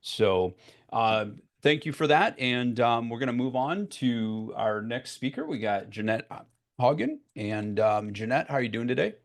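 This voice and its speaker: A man hosting a vendor pitch session over a video call, talking casually and haltingly with many fillers. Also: expressive. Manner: filler-heavy, halting with many "you know"s. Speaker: webinar host.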